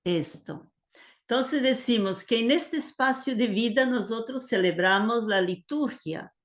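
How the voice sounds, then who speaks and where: Older woman, unhurried halting online lecturer. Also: on the high side, expressive. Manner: hesitant, many fillers and Italian words. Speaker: liturgy teacher. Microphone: video call.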